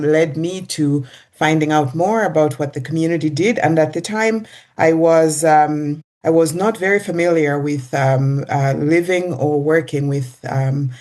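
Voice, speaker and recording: A middle-aged guest from Zambia, answering interview questions in a hesitant way with many pauses and restarts. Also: mid-range voice, darkish tone. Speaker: executive director, guest.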